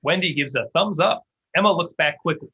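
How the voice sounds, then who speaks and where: A man's voice: a little high, fairly quick, sharp tone, slightly rough. A man reading stage directions as scripted narration for a table read, on a muffled, lo-fi microphone.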